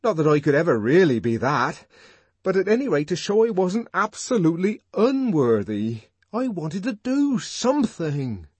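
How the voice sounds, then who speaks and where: An ardent young man in love , as a male narrator acts him out, pitched high and pleading. He declaims with halting, reverent fervour and wide swoops, in a husky storybook voice. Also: expressive, darkish tone, dry recording, heavily acted. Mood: agitated, earnest.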